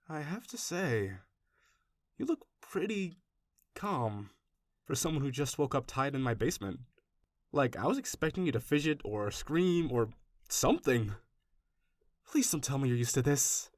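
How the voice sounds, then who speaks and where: A man's voice: a little high, no echo. A man playing an obsessive, doting kidnapper in a roleplay audio, speaking to the listener with rambling, anxious affection. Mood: teasing, curious. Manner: soft-hearted, rambling, hesitant, gushing reassurance. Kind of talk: intimate roleplay audio acting addressed to the listener.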